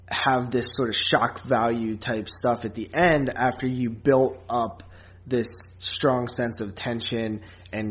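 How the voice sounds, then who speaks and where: Man chatting casually, haltingly and slangy. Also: medium pitch. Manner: informal, slangy, halting, circles back over phrases. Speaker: podcast host. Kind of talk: casual film review chat.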